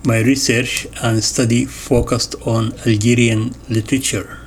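A man reading an interview transcript aloud in a measured way, announcing each question and answer. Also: medium pitch, little pitch movement, slight background noise. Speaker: voice-over reader of an interview transcript.

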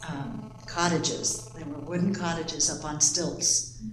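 A woman's voice: low-pitched, steady tone, slow. An older woman raised in the Panama Canal Zone, reflectively recalling her childhood in an oral history interview. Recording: echoey room with background noise.

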